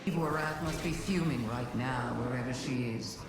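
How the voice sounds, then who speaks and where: An actor playing a grave, humbled alien deity in a game cutscene, solemn and deliberate, in heavy echo and loud ambience. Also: mid-range voice, lightly acted. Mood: grave, brooding. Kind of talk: scripted game cutscene monologue. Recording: heavily echoing, loud ambient noise.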